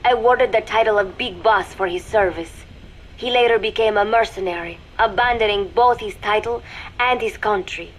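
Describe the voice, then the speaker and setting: A young female spy, played by an actress, dictates a secret intelligence log for a video game. Her medium-pitched voice is formal, deliberate and coolly watchful, every statement measured and calculating. Clear background noise runs under the recording. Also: natural voice, poor audio. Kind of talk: scripted video game voice acting, dramatic monologue.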